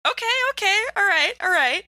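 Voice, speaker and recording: A woman playing a tabletop game, improvising action casually and thinking aloud in animated conversation. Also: very high voice, brisk, slight background noise. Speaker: tabletop game player on podcast. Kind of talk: casual improvised banter and storytelling.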